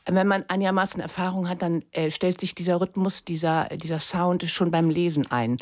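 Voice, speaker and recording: A woman speaks as a guest in a radio interview. Her voice sits a little low. She explains her work as a literary translator in considered, articulate sentences. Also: fairly even intonation, a little fast.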